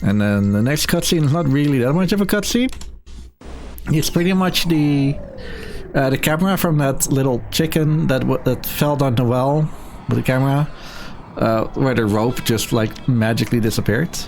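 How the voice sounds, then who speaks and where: A man's voice: medium pitch, moderate pace, a little mellow, a little hiss or hum. A man giving casual, tongue-in-cheek live game commentary as a speedrunner at a marathon.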